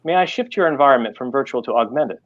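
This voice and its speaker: A man's voice: medium pitch, expressive, quick pace, natural voice. A refined American artificial butler performed by a male reader in a science fiction story. He speaks formally and exactingly, with dry, scolding sarcasm. The sound is a little dull and lo-fi. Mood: dry, insistent.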